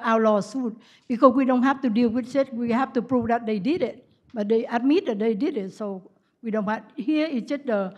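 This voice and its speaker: Woman panelist, lots of um and uh, kinda high voice, bit muffled sound. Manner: hesitant, many fillers and restarts, broken English. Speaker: panel speaker. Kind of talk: answering audience questions. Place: conference ballroom. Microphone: stand mic close up.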